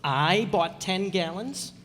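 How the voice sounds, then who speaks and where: A man's voice: high voice, expressive, slow, fairly bright tone, full character voice. A man playing a bashful, literal-minded, penny-pinching teacher with a hesitant, earnest manner in an old-time radio comedy. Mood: puzzled. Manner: hesitant, earnest, fussy, throat-clearing. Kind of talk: old-time radio sitcom acting before an audience. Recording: echoey hall, amateur stage performance.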